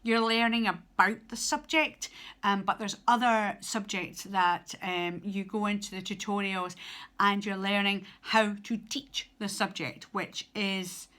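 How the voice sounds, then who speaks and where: Bright chatty Scottish woman. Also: medium pitch, steady tone, a little slow. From Scotland. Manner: chatty, hesitant, many ums and restarts. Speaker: student teacher vlogger. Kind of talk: casual advice vlog.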